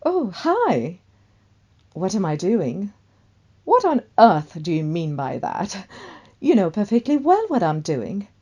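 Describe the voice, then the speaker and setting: Woman acting a volatile, vainglorious actress, swooping pitch. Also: wildly swooping pitch, slow pace, warm tone, slightly rough, lo-fi sound, full character voice. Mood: gushing. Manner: melodramatic, haughty, swinging from gushing to scolding. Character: vainglorious, hot-tempered famous actress, a theatrical diva. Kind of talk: one-sided radio play dialogue.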